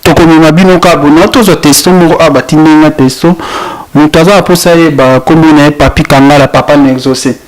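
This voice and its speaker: A man's voice: fairly high pitch, fairly even intonation, a little fast, rough recording. A man, hosting a casual street interview and repeating promotional plugs for his web channel. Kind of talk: street interview, mixing French and Lingala.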